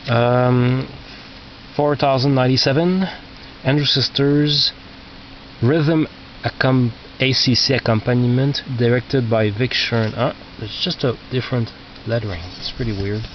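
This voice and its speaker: A man with a medium-pitched voice and English as a second language presents a collection in a casual, halting way. He mutters asides and reads labels aloud. The sound is dull, from a cheap camcorder, with clear background noise. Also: steady tone. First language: not English. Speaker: record collector. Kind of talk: casual narrated show-and-tell of collection. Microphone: cheap video camera microphone. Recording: muffled cheap video camera, loud noise.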